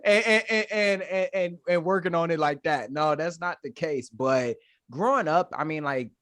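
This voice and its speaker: A young man from Detroit, a podcast guest telling his story in casual, animated, slangy chat. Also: fairly high pitch, normal speed, no echo, studio quality. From Detroit, Michigan, USA. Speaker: podcast guest, Marine staff sergeant.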